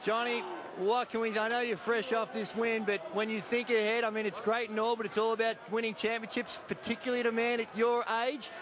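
A man's voice: very high voice, level tone, brisk. A middle-aged man interviewing players courtside after a game, speaking energetically and raising his voice over the crowd on a handheld mic in an indoor arena. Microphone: handheld microphone. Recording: loud arena crowd noise.

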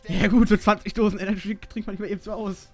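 A young man in casual, slangy, sweary banter with a friend during a video game. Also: high voice. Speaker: gamer, co-player. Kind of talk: casual gaming chat with a friend.

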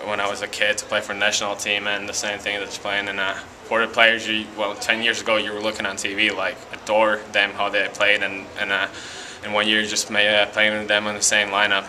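A young man from Latvia with a Latvian accent, a college hockey player giving a halting post-game interview full of fillers and restarts in an arena hallway. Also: fairly low pitch, monotone, sharp tone, slight background noise, rough recording. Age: young adult.

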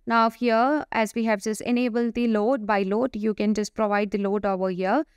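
Female software demo presenter, smooth and clear, improvised halting walkthrough, dry close recording. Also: mid-range voice, little pitch movement, dry recording.

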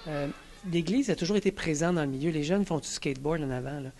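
A man's voice: on the high side, quick pace, background noise. A man in his forties with a Québécois accent, giving a thoughtful, hesitant vox pop answer. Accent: Québécois French. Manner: thoughtful, searching for words. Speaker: local resident. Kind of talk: vox pop street interview. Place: indoors, church hall before a drawn mural. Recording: music bed underneath.

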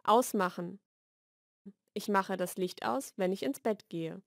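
A woman reading a scripted language lesson, giving single words and short example sentences with measured, deliberate enunciation. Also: medium pitch, creaky, no room sound, clean studio sound. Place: dry studio voice-over recording.

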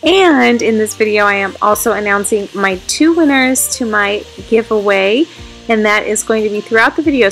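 A woman's voice: a little high, gravelly, fairly bright tone, poor audio. A woman in her thirties talking casually and cheerfully to viewers as a home vlogger. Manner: chatty and upbeat, addresses viewers directly. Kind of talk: casual vlog narration. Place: family home, bedrooms and kitchen.

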